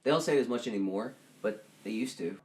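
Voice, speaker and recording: A man's voice: medium pitch, expressive, fast talker, poor audio. A young man from Texas on a podcast, chatting casually and rambling with many restarts and self-mocking jokes. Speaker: podcast co-host and actor. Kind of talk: casual chat.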